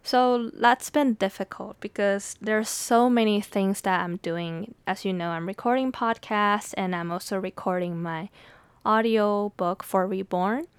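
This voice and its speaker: A young Taiwanese American woman whose first language is Mandarin, talking candidly and thoughtfully on her own podcast, laughing softly between thoughts. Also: medium pitch, unhurried, smooth, no room sound. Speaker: poet and podcast host. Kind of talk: reflective solo podcast talk.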